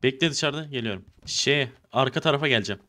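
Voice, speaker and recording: A man's voice: mid-range voice, quick pace, bright, forceful tone. A man improvising roleplay banter in an online game, repeating short phrases insistently. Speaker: streamer playing a fake car dealer.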